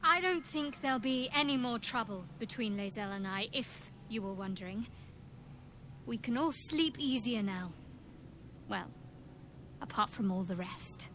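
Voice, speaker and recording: A woman's voice: on the high side, animated, measured pace, long pauses, sharp tone. Dry, sardonic adventurer woman, faint noise. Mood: wry, guarded. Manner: dry, wry, pointed. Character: sardonic, guarded young adventurer woman, cool and cutting.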